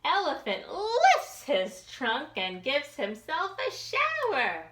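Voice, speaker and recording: A woman children's librarian reading a picture book aloud for young children in an expressive, sing-song questioning way. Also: high voice, takes it slowly, echoey room, slight background noise. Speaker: children's librarian hosting storytime.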